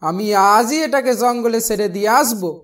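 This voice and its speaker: A woman's voice: fairly high pitch, fairly quick, slight background noise, close to an everyday voice. A woman voicing a worried but firm village parent in a Bengali cartoon, questioning and admonishing. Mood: scolding.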